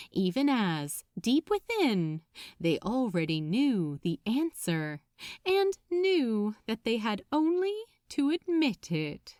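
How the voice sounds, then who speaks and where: A woman reads a story aloud with dramatic, deliberate phrasing. Her voice is fairly high and swoops expressively, taken at an unhurried pace. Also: darkish tone, no room sound, clean studio sound. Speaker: narrator. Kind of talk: expressive scripted story narration.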